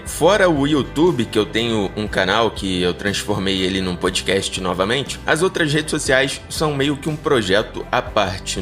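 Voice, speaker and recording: A man's voice: medium pitch, a bit bright. A Brazilian man hosting and narrating a horror podcast in an informal, storytelling way. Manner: informal, slangy. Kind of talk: scripted story narration and casual listener address.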